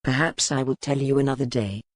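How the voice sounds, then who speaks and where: A man playing a war-weary, reserved medieval duke in a historical romance audiobook, with measured, formal speech. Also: medium pitch, steady tone, dry recording, studio quality, natural voice. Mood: calm, reserved.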